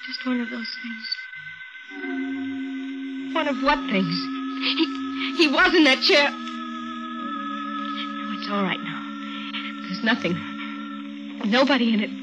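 A woman's voice: sits high, very animated, takes it slowly, pauses often, loud music behind the voice, full character voice. An actress playing a frightened, shaken young woman in a 1940s radio mystery, faltering and breathless as she speaks. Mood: uneasy. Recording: muffled, noisy 1940s radio recording.

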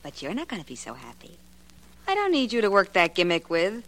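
A sly, fearless dame from a 1950s radio crime show. Her American voice is sharp and swoops with sarcasm. She talks in cool, hard-boiled slang. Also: on the low side, wildly swooping pitch, brisk, no room sound. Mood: taunting. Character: hard-boiled sardonic woman, sly and unafraid.

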